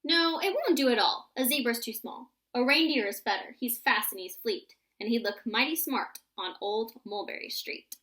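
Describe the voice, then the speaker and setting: A woman voicing an imaginative, excitable young boy who spins tall tales in a rhyming storybook. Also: fairly high pitch, moderate pace, few pauses. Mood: musing, excited.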